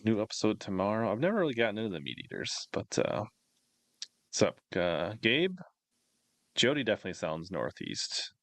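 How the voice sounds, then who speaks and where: A low-voiced man works as a livestream host, talking in a loose, rambling way. His questions come out conversationally, in a close, dry sound with no room on it. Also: big pitch swings, normal speed, a bit bright, no room sound. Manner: rambling, reads out viewer questions. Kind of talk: casual live-stream interview chat.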